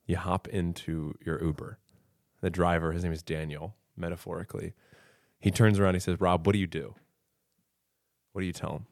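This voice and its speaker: A man hosting a podcast, speaking loudly and enthusiastically as he introduces his guest in a studio. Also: low-pitched, flat delivery, normal speed, long pauses, no echo. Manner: loud, upbeat, enthusiastic. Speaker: podcast host. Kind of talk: casual podcast interview. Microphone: studio mic.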